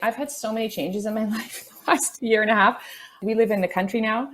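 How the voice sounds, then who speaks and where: A woman in her forties talking casually as an interview guest, with an animated, emphatic and informal way of speaking. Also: a little high, a little fast, slight background noise. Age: 40s. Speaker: podcast guest, actor.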